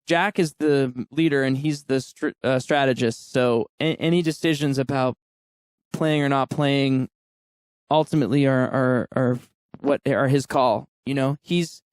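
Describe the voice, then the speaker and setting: Smooth-voiced man in his thirties. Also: mid-range voice, fairly even intonation, a little slow, no room sound. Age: 30s. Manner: candid, laughs often. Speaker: podcast guest, musician. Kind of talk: casual interview chat.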